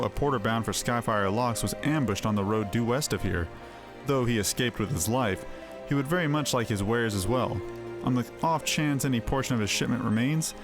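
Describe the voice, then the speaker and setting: A man voicing a formal, exacting knight officer in a fantasy game, speaking in a measured, officious way. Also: mid-range voice, close to an everyday voice. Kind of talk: voiced reading of fantasy game dialogue. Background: music.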